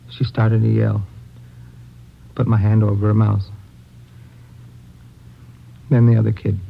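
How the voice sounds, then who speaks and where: A man acting a nervous, evasive murder suspect who pleads haltingly in an old radio crime drama. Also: on the low side, vocal fry, exaggerated voice. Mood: broken, confessing. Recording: muffled, hissy old radio recording.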